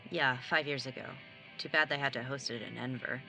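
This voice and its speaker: A woman's voice: a bass voice, fairly even intonation, brisk, pushed and bright, faint music behind, heavily acted, low-quality recording. A young woman playing a sarcastic, blunt nineteen-year-old younger sibling in a naturalistic audio drama. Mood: casual, guarded. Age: young adult. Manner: sardonic, blunt, casual.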